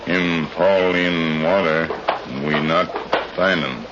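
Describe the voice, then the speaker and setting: Slow, deep, terse western sidekick. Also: male voice. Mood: stoic. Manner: clipped broken English, slow and flat. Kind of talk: old-time radio western acting. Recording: muffled, noisy vintage radio recording.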